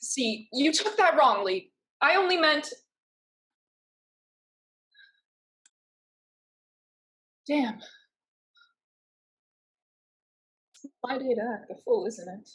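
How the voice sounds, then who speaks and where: A woman's voice: high voice, very animated, moderate pace, sharp tone, exaggerated voice. A woman acting a blunt, proud young female pirate fighter in a staged reading of a drama. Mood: flustered.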